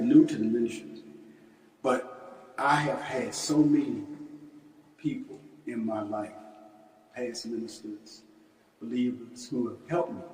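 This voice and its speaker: A man's voice: medium pitch, darkish tone. Man in his sixties preaching, echoey hall. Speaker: minister. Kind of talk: religious lecture and recited prayer. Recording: echoey hall, distant microphone.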